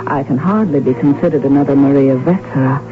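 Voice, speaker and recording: An actress playing a witty, outspoken countess with a formal, precise manner, in the style of radio drama. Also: female voice, rumbling low, quick pace. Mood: wry, pointed. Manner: formal, arch, precise diction. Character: witty, outspoken countess from an impoverished noble house. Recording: muffled old radio broadcast, music behind. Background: music.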